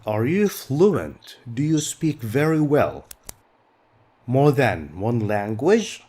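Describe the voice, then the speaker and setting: A man's voice: mid-range voice, very animated, slow, darkish tone, a little hiss or hum. A middle-aged man whose first language is Arabic, teaching an English textbook lesson patiently and repeating key words.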